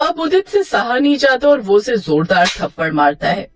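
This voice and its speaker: Animated woman storyteller. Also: on the high side, normal speed, faint music behind. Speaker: narrator. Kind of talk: scripted children's story narration.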